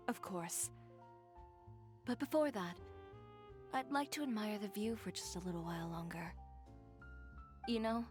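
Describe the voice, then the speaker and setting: An actress plays a resolute young woman designer from a video game. Her voice sits mid-range and she speaks with formal, measured assurance. Faint music runs behind her. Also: medium pitch, natural voice. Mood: calm, reflective.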